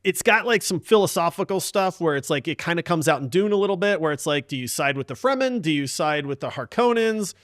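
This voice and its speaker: A man with a fairly high voice and a close, dry sound chats as a podcast host. He is enthusiastic and emphatic, leaning on casual slang as he gives his opinions. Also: lively intonation, a little fast, few pauses, fairly bright tone, no echo. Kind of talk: casual gaming podcast chat.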